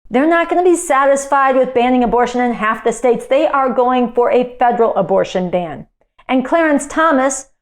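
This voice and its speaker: A woman's voice: sits high, a little mellow. A woman activist giving an impassioned political speech, emphatic and indignant, driving points home with repetition. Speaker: activist speaker.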